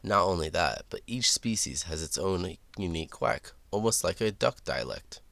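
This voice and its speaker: Man as talking duck sidekick, deep voice, dry close sound, nerdy, matter-of-fact, children's storytime comedy. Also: expressive, normal speed, gravelly, a bit bright, no echo, heavily acted. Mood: eager, nerdy. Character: male talking duck sidekick who shares science trivia. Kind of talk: scripted comic chat in a children's read-aloud show.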